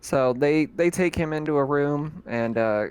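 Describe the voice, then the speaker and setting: A man's voice: on the high side, clear, resonant tone. A man running a tabletop horror game as game master, narrating casually with plenty of fillers. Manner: casual, with fillers and quick reactions.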